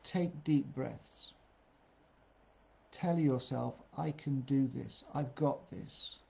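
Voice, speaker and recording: Man coaching with a mellow, muffled voice. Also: mid-range voice, takes it slowly, vocal fry. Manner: plain-spoken, many "uh" fillers. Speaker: career coach. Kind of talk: webinar advice talk.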